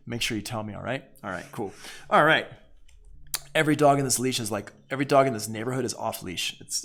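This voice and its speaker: A man with a mid-pitched voice walks through a music software lesson as an instructor. He is easygoing and informal, talking his way through each step as he goes. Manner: easygoing, informal, thinking aloud. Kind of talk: casual screen-share software tutorial.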